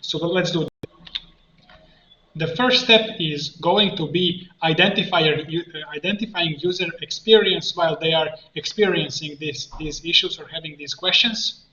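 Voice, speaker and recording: A man giving an unscripted screen-share software tutorial, explaining step by step in a halting way. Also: on the high side. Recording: muffled roomy mic with faint noise.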